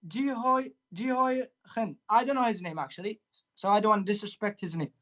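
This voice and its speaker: A man whose first language is Arabic speaks as a caller in a livestream debate, halting and hesitant, stumbling over his words. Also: sits high, a bit bright, lo-fi sound.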